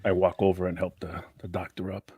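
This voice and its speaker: Very low, husky male player. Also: monotone, a little fast, mellow, dry recording. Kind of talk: tabletop roleplay, first-person.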